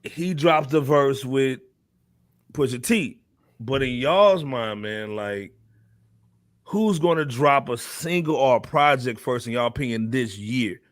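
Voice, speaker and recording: A man's voice: medium pitch, slow, dry recording, studio quality. An American man hosting a casual hip hop talk show, speaking in loose slang and often tagging phrases with "man". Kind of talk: casual panel chat about hip hop.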